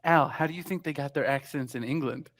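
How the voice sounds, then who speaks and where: A man's voice: mid-range voice, brisk, a bit bright. A man co-hosting a casual podcast chat, laughing often and explaining things at length. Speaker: podcast co-host.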